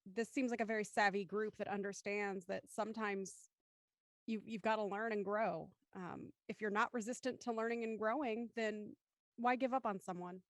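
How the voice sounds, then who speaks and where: A woman's voice: medium pitch, fairly even intonation, moderate pace, dry recording, clean studio sound. A woman talking casually as a panelist in a group discussion. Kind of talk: casual chat.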